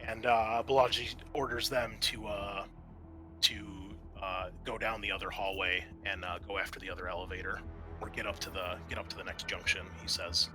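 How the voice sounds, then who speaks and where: A man's voice: on the low side, level tone, moderate pace, bright, forceful tone, faint music behind. A man acting as game master for a tabletop roleplaying game, explaining turns and narrating in a conversational, improvised way.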